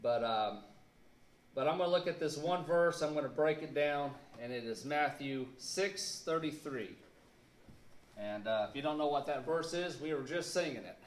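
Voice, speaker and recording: A man in his thirties preaching a sermon in a church on a handheld mic, earnest and halting with fillers, leaning hard on key words. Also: fairly high pitch, animated. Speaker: pastor. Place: church sanctuary, indoors. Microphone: handheld mic, filmed by a camera across the room. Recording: echoey church room.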